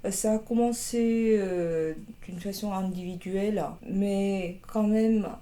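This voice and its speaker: A young Korean woman with a Korean accent, answering interview questions in an unhurried, hesitant way, with many fillers and restarts and a dry, wry humor. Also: mid-range voice, fairly even intonation, dark tone. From South Korea. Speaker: interviewee, master's student.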